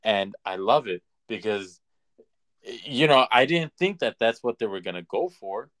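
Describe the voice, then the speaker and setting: A man co-hosting a podcast in casual conversation, opinionated and halting as he restarts his points. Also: on the low side, animated, a bit bright. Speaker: podcast co-host. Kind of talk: casual chat.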